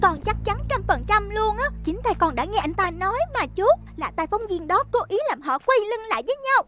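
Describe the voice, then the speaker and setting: Earnest cartoon kid, pleading, tiny very high voice, swooping intonation. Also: female voice, lively intonation, brisk, fairly bright tone, soft background music. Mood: urgent. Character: sweet, earnest child peacemaker, eager to reconcile friends. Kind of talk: animated series dubbing.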